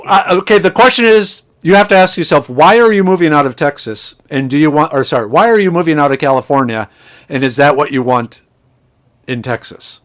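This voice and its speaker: Middle-aged male guest from Minnesota, higher voice, rambling and chatty on a remote call. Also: brisk, a bit bright. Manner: chatty storyteller with frequent restarts. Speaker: podcast guest, chemist and foraging instructor. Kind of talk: casual podcast conversation.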